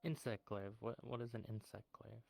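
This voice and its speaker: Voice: mid-range voice, steady tone, moderate pace, gravelly, darkish tone. A roleplaying newcomer chatting casually in character and asking questions.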